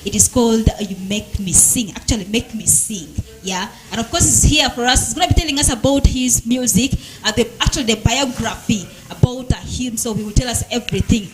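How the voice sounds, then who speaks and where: A woman's voice: a little high, brisk, hardly any pauses, echoey room, music in the background. A woman chatting casually as the host of a TV interview. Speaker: TV show host. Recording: noisy studio recording with clicks.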